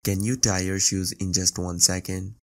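A man's voice: sits low, little pitch movement, normal speed, very noisy. A man reading scripted narration as the presenter of an online video compilation. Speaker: narrator of a viral clip compilation.

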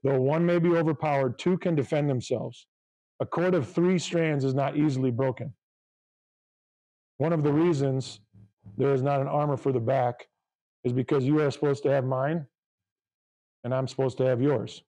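A man preaching a sermon to his congregation, reading scripture aloud in a loud, fervent voice. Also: mid-range voice, fairly even intonation, normal speed, long pauses, poor audio. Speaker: pastor.

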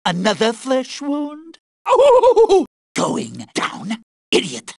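An actress playing a taunting, sadistic boss fighter in a video game, with mocking, drawn-out delivery. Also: female voice, high voice, huge pitch swings, dry recording, exaggerated voice.

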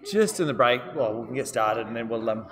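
A man's voice: mid-range voice, expressive, fairly quick, a crowd in the background. A man with a New Zealand accent teaching a live-streamed law seminar, chatty and casual, putting questions to his students. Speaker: law lecturer. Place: lecture room with long reverb. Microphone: distant room microphone. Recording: reverberant lecture hall sound.

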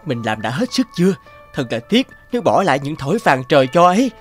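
An actor playing a greedy, excitable young peasant man in a fairy-tale cartoon, dramatic and given to exclaiming and pleading. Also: on the high side, fast, soft background music. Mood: greedy, calculating. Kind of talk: animated fairy-tale character acting.

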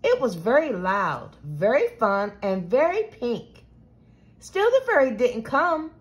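A woman reading a children's storybook aloud with expressive, deliberate delivery. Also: a little high. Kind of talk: scripted children's storybook narration.

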